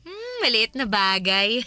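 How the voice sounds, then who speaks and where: A woman's voice: on the high side, very animated, slow pace. An actress playing a young, breezy, generous girlfriend in a Filipino radio drama, with a playful, coaxing, casual way of talking. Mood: coaxing, pleased.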